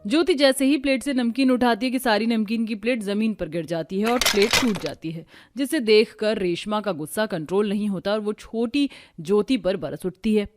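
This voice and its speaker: A woman reading a scripted narration for an animated moral story. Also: mid-range voice, moderate pace, few pauses, faint music behind.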